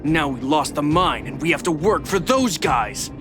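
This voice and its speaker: An actor playing a resentful, bullying miner who leads a gang of thugs in a video game, sneering and taunting. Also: male voice, a little high, animated, moderate pace, over music, natural voice. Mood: accusing. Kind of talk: animated video game voice acting.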